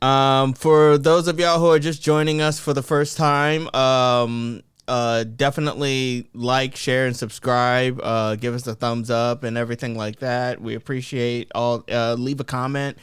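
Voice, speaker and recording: A young man co-hosts a laid-back podcast, rambling and poking fun at himself between fillers. His voice is smooth and resonant, recorded dry and close with no room sound. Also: mid-range voice, little pitch movement, a little slow, few pauses, fairly bright tone, no room sound. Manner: rambling, self-deprecating, many fillers. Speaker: podcast co-host. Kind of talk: casual podcast chat.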